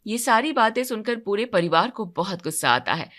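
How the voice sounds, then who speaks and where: A woman's voice: mid-range voice, lively intonation, normal speed, a bit bright. A woman narrating a scripted family story in a dramatic storytelling style.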